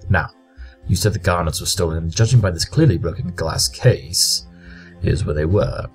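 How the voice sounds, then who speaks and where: A coolly superior detective stallion performed by a man speaks in a deep voice. He is curt, haughty and cutting, with faint music behind the audio drama performance. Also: lively intonation, moderate pace. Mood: scornful.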